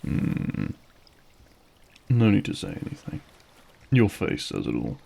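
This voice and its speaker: A man playing a weary, coldly menacing monster leader in an audio drama, speaking in an unhurried, ominous, musing way. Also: fairly low pitch, huge pitch swings, creaky, mellow, husky, exaggerated voice. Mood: cold, resigned.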